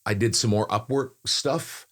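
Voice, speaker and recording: A man's voice: medium pitch, unhurried, fairly bright tone. An older man co-hosting a casual podcast, talking in a rambling, self-deprecating way about his work. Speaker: voice-over talent, podcast co-host. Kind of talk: casual chat.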